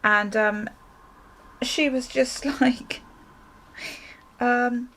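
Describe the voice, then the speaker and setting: A woman's voice: on the high side, steady tone, very slow, fairly bright tone. A woman chatting casually to viewers as she gets ready, rambling and interrupting herself as a vlogger. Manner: rambling, self-interrupting, chuckly. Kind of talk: casual storytime chat while getting ready.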